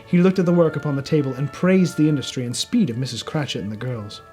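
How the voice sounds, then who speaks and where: A man's voice: mid-range voice, expressive, fast talker, mellow, soft background music. A man narrating a classic story as a storyteller, reading expressively from a script. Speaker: storyteller, reader.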